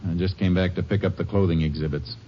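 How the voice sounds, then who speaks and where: A very low-voiced, businesslike Texas Ranger played by a male actor who stays terse and courteous as he investigates. The sound is a muffled old radio drama with some hiss. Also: fairly even intonation, quick pace, natural voice. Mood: probing. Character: calm, dogged Texas Ranger investigator, a man. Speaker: lead actor, narrator. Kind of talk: 1950s radio drama acting. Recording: dull, hissy old radio recording.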